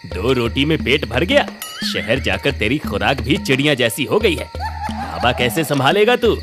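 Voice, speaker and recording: A man playing a stern, proud middle-aged father who runs a village eatery in a cartoon story, gruff and scolding. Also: medium pitch, sing-song, unhurried. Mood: bossy teasing. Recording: music under the voice.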